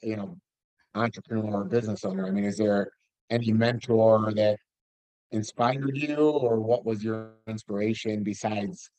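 Halting male host with a dry sound. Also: on the low side, steady tone, darkish tone, no echo, rough recording. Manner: halting, many restarts and fillers. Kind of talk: interview.

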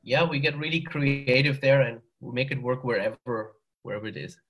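A man in his forties speaks English with a German accent, his voice sitting at a middle pitch. He is a podcast guest who explains things in a calm, thoughtful way and sometimes restarts his sentences. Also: fairly quick, low-quality recording. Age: 40s. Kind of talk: casual interview chat. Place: hot room at home.

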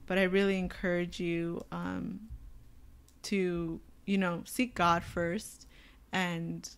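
Raspy young woman giving earnest advice. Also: fairly low pitch, level tone, slow pace. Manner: chatty, many filler words, earnest. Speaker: co-host of a faith podcast.

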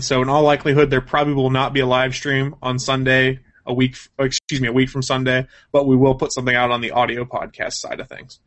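A man's voice: medium pitch, fairly bright tone. A man hosting a podcast in an informal, joking, chatty way. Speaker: podcast host. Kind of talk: casual chat.

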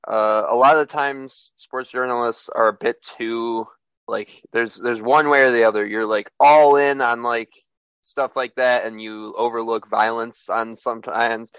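A young man hosting a sports podcast, talking in a relaxed way with lots of "uh" and "like". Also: medium pitch, measured pace, smooth, no echo, highs cut off. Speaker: podcast host.

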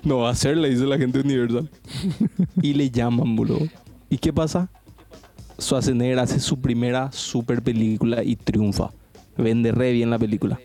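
A man's voice: mid-range voice, steady tone, normal speed, mellow, soft background music. A man hosting a casual podcast chat with friends, telling a long story, repeating himself and using slang. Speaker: podcast host.

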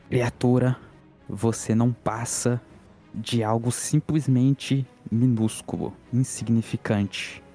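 A man with a Brazilian accent playing a tabletop game, improvising dramatic narration about his character in deliberate phrases. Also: fairly low pitch, warm tone.

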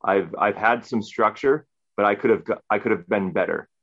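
Low-voiced earnest man of thirty. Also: moderate pace, fairly bright tone, muffled recording. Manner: earnest, rambling with fillers. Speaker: podcast guest. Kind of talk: casual round-table talk.

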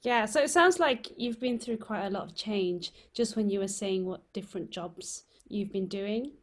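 A woman hosting an interview, with a medium-pitched voice. She asks thoughtful follow-up questions and keeps the conversation going with small attentive murmurs. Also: normal speed. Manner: attentive, frequent backchannel.

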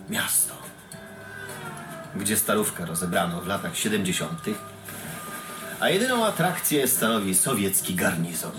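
An actor playing a wry, bitter man from a bleak provincial town, telling his story in a sardonic audio-play monologue. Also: lowish voice. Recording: roomy produced audio drama with music. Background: music.

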